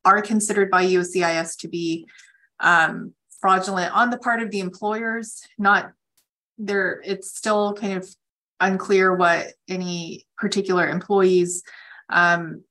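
Female attorney on a call-in, bright clear-cut tone, slightly low pitch, explanatory and matter-of-fact. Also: fairly even intonation, unhurried. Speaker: immigration attorney answering callers. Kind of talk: advisory question-and-answer on a conference call.

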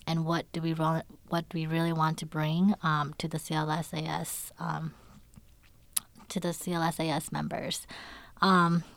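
Low-pitched young female producer, measured and hesitant, dry studio sound. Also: dry recording. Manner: measured, with frequent ums. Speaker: podcast producer. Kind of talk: casual podcast chat. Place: recording studio.

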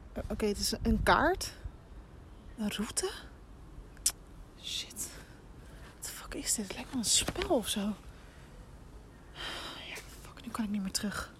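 An actress playing an anxious but determined young reporter in an audio drama, thinking aloud haltingly as she records herself. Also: mid-range voice, fairly bright tone. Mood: nervous, hushed. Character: anxious, determined young female local reporter investigating a mystery. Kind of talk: audio drama, recorded voice diary while walking. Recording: very noisy outdoor field recording with clicks.

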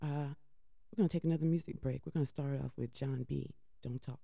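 A middle-aged woman with a deep voice, hosting a live radio show in chatty, rambling talk with laughs and restarts. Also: fairly even intonation, a little fast, gravelly, very noisy. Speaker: radio host.

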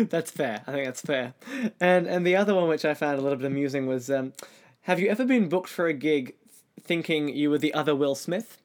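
Higher-pitched man hosting an interview. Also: fairly quick. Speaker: podcast host, interviewer. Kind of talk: casual interview chat.

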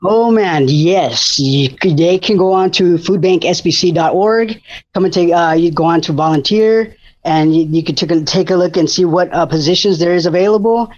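An enthusiastic man talks in a rambling way, full of fillers and restarts. His voice sits a little high, and the recording sounds dull and muffled. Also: normal speed, a little mellow. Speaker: food bank disaster preparedness coordinator. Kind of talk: casual radio interview.

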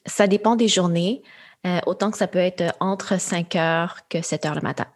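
A young woman answers questions in a measured, reflective way, often pausing with soft fillers. Her voice sits a little low and carries a faint husky breathiness. Her is Khmer. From Quebec, Canada. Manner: thoughtful, measured, with frequent fillers. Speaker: guest, AI entrepreneur. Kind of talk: interview conversation.

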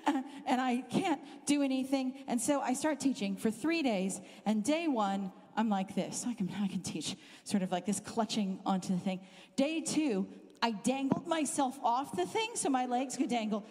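A middle-aged woman with a Southern Californian accent softened by years in the UK, preaching as a guest speaker and telling a funny story about herself. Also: fairly high pitch, normal speed. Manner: animated, self-deprecating, laughs often. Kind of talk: humorous storytelling sermon. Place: church hall. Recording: hall reverb from a live church talk.

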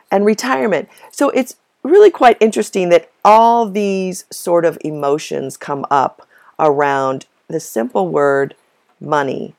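A woman hosting a podcast solo in a casual, conversational, explanatory way, her voice a little hoarse from a cold. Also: mid-range voice, a little mellow. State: slightly hoarse from a minor bug. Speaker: podcast host.

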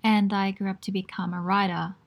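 Woman from the Philippines, casual chat, mid-pitched, reflective and laughing often. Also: little pitch movement, a little fast, creaky. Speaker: guest in a group conversation.

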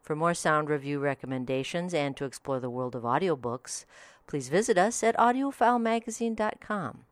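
A woman hosts a short review podcast from a script. Her voice sits low but moves with animated intonation. The sound is dry, with no room around it. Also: normal speed, no room sound. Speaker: podcast host.

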